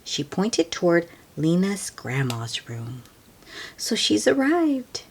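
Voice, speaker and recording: A woman reading a picture book aloud to children as host, expressive and often hushed, with friendly asides to young listeners. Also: fairly low pitch, takes it slowly, slightly rough.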